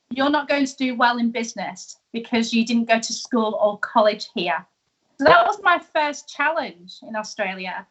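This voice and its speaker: A woman's voice: on the high side, pushed and bright, poor audio. A woman from the UK with a British accent, a guest telling her story in a casual, upbeat interview over a video call. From United Kingdom. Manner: chatty and upbeat, laughs easily. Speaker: guest, entrepreneur. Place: live video show.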